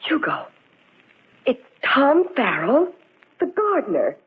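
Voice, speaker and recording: A muffled 1940s radio recording of a nervous young heroine. Her voice is very high and swooping, fearful and melodramatic. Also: big pitch swings, takes it slowly, full character voice. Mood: shaken. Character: anxious young lady, detective's companion. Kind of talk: 1940s radio mystery acting.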